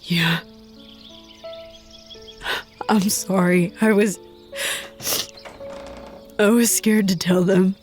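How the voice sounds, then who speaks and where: An actress playing a sad, apologetic young woman who speaks quietly and haltingly, sometimes in a whisper. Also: mid-range voice, fairly even intonation, faint music behind, lightly acted. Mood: sorrowful. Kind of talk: audio drama acting.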